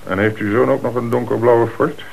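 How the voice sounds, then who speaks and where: An actor playing a calm, authoritative police commissioner, questioning and giving orders in a radio play. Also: male voice, mid-range voice, fairly quick, gravelly. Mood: businesslike. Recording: noisy old radio drama recording.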